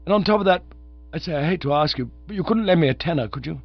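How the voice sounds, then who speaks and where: A man's voice: fairly high pitch, lively intonation, quick pace, dry recording, highs cut off, soft background music, voice pushed up. A man voicing a breezy young Oxford student, rattling on chummily. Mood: breezy, chatty. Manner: rattling, chummy, full of "you know".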